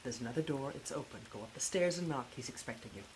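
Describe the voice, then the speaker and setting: Stern household mistress, terse, controlled, directive, audio drama, clear rainy noise behind. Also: female voice, rumbling low, expressive, quick pace, a little mellow, rough recording. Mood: secretive, controlled. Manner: terse, matter-of-fact, directive.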